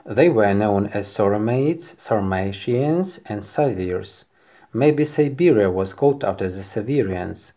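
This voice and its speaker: A Ukrainian man with a Ukrainian accent, speaking English as a second language and narrating a history video carefully and deliberately. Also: lowish voice, expressive, mellow. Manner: careful, deliberate non-native delivery.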